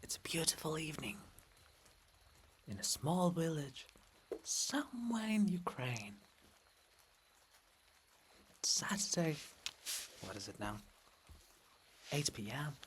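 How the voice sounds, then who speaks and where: A man narrating a play with measured, theatrical delivery and intimate asides to the listener. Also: a little high, animated, fairly bright tone, a little hiss or hum. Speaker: narrator of a dramatic play reading.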